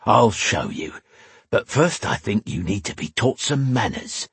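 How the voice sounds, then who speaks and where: A man voicing a huge, belligerent drunken tavern brute with rough, growling speech in a fantasy audiobook. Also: lowish voice, big pitch swings, measured pace, a bit bright, dry recording, heavily acted. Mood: menacing.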